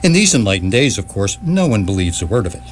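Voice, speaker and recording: Animated male narrator, grand storytelling. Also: lowish voice, fast talker. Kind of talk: scripted comic storytelling narration for radio theatre. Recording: stage performance with faint hum.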